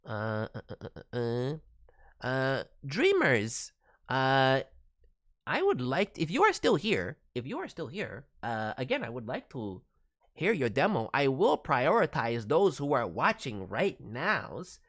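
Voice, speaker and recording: A man's voice: mid-range voice, huge pitch swings, slow pace, fairly bright tone. A man hosting a casual livestream as a voice director, giving feedback in a playful way with cutesy turns of phrase. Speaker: voice director, streamer host. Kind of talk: livestream critique of demo reels, casual.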